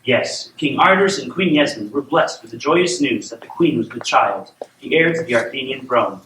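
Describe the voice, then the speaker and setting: A man playing a warm, firm father who reads his daughter bedtime stories, in scripted drama. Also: mid-range voice, expressive, normal speed, natural voice. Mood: storytelling. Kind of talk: scripted drama dialogue and storybook reading. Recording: roomy, distant, noisy room sound.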